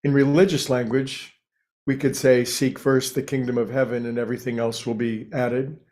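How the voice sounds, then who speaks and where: A man with a very even, level delivery leads a guided meditation as its teacher. He is calm and unhurried, setting out short phrases with space between them. His pitch sits in a middle range and moves very little.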